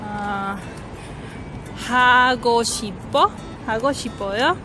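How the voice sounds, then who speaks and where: A young woman from Ecuador chats to her viewers in a fairly high voice with an Ecuadorian accent. Her delivery is casual and giggly, the talk of a handheld outdoor vlog. Music plays clearly underneath her. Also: normal speed. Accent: Ecuadorian Spanish. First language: Spanish. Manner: chatty, giggly. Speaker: vlogger. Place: outdoors on a riverside path at night. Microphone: handheld phone or camera.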